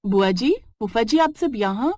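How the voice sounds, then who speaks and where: Lady voicing a flustered young daughter-in-law, polite, kinda high, dry mic. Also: animated, measured pace, darkish tone, dry recording. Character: put-upon young daughter-in-law, polite but frazzled.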